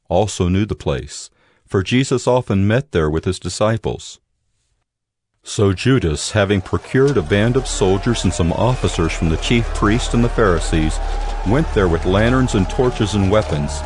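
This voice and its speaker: Low male Bible-drama narrator. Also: expressive, measured pace, darkish tone, slightly rough, no room sound, clean studio sound. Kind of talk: scripted scripture narration.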